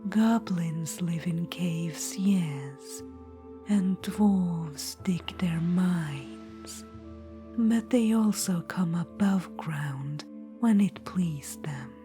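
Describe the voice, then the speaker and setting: A woman voicing a possessive, menacing undead witch-queen in an ASMR roleplay, whispering softly and slowly throughout. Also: on the low side, faint music behind. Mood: hushed storytelling. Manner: soft whisper throughout, slow and intimate.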